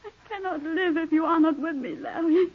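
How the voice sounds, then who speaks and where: A woman's voice: extremely high pitch, steady tone, a little fast. An actress playing a devoted young foreign woman in love, earnest and pleading, in a 1940s radio drama. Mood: tearful devotion. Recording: dull 1940s radio broadcast recording.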